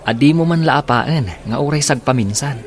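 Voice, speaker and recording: A man's voice: medium pitch, moderate pace, natural voice. A man playing a frank young friend giving advice, with an Ilocano accent, in a radio drama. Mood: reproving. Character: frank young male friend advising a heartbroken woman.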